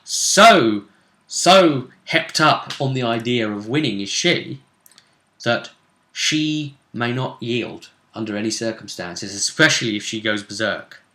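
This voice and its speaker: Bright-voiced man in an echoey room. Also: mid-range voice, lively intonation, slow pace. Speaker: host. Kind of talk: casual chat recapping a tabletop role-play game. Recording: roomy, echoey room sound.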